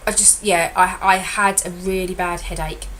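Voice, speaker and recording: Chatty woman with a croaky voice. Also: fairly low pitch, little pitch movement, normal speed, a bit bright, a little hiss or hum, poor audio. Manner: chatty and rambling, self-correcting. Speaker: weight loss consultant. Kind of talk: casual vlog update.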